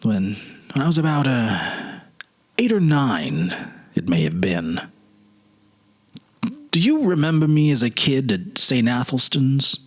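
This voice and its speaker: A wistful middle-aged gentleman, acted by a man, confides his memories in a slightly husky voice. The delivery is hesitant and musing, with lively swings of pitch, like a dramatic storybook reading. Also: slow, pauses often, heavily acted.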